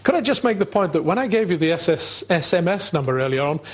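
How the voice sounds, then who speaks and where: A man hosting a live call-in talk show, relaxed and self-deprecating, with many fillers. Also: fairly high pitch, quick pace, slightly rough.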